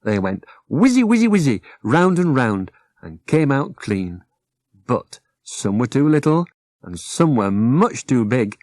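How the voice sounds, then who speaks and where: Animated, unhurried British storyteller. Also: male voice, mid-range voice, no room sound, studio quality. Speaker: author and narrator. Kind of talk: children's audiobook storytelling.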